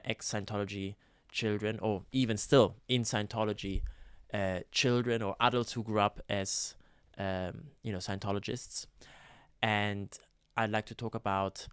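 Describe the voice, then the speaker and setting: A man, telling his own story in a gentle, campy vlog, with hesitant fillers. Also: on the low side, clear, resonant tone, no echo, clean studio sound. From Switzerland. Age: 30s. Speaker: YouTuber, ex-Scientologist. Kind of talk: personal testimony vlog.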